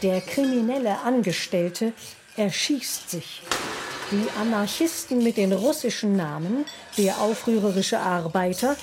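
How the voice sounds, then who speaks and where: A woman reading scripted narration for a radio documentary drama. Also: medium pitch. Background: music.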